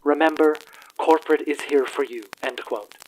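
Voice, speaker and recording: A performer playing an earnest radio news anchor in a radio play, with formal, measured, theatrical announcer phrasing. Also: medium pitch, fairly even intonation, lightly acted, low-quality recording. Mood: solemn, reassuring. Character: earnest troll radio news anchor hosting an evening broadcast.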